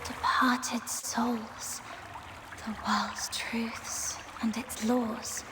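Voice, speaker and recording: An actress voicing a sad, wistful young character in a video game, speaking in a hushed, hesitant way. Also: female voice, a little high, flat delivery, extremely slow, noisy background. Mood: pensive. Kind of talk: video game dialogue acting. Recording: clear background music.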